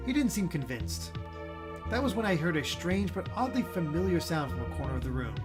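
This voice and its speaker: Man narrating a game over loud music. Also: a little high, very animated, brisk. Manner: storytelling delivery with stumbles over the text. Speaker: gaming video co-host and narrator. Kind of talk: reading visual novel narration aloud, casual asides.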